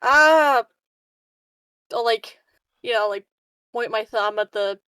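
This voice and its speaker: A woman with a fairly high voice banters casually as a player in a tabletop game. She is giggly and exasperated, with plenty of swearing, and the sound is dry and close. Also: slow pace, bright, forceful tone, clear, resonant tone, dry recording. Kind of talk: casual in-character improvised banter.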